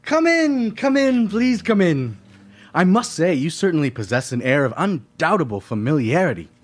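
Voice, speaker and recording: Grandiloquent painter for radio drama, male actor, effusive, raised pitch, very animated. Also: normal speed. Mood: effusive, welcoming. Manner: pompous, flowery, gushing. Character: pompous, effusive male painter with florid speech. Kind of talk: live radio play acting.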